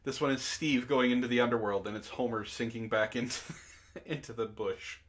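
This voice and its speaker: A man's voice: medium pitch, fairly even intonation, normal speed. A man hosting a casual online chat, reading messages and memes aloud and reacting in a giggly, profane way.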